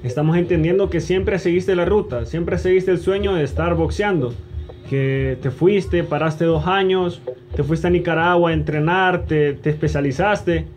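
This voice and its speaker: A man's voice: fairly high pitch, steady tone, moderate pace, a little mellow. A man co-hosting a conversational sports interview. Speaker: co-host interviewer.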